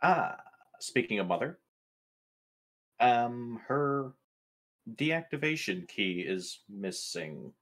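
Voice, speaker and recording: A man's voice: medium pitch, very animated, slow, long pauses, fairly bright tone, low-quality recording. A man talking casually as a player in a tabletop role-playing game, speaking for his character.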